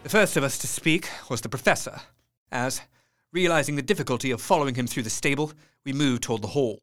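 A man narrating an audio drama in a tense, measured storytelling voice with hushed dips. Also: mid-range voice.

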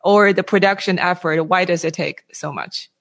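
A man's voice: high voice, fairly even intonation, moderate pace, a bit bright. Tentative man asking interview questions. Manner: tentative, rephrases questions as they go.

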